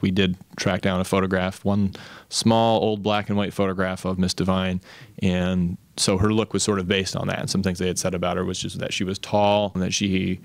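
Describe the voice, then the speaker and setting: A man's voice: low voice, level tone, moderate pace, no room sound. A man talking in an interview as an animator, explaining how the work gets made.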